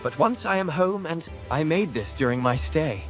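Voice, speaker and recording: A man playing a gracious, forgiving young prince in an animated fairy tale, speaking formally and earnestly. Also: a little high, moderate pace, over music, lightly acted.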